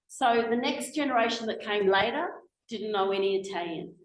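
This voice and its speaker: A woman in her forties with an Australian accent, giving a chatty, lively public talk as a guest speaker, full of asides and restarts. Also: on the high side, moderate pace. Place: lecture room, indoors. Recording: echoey lecture room, faint noise.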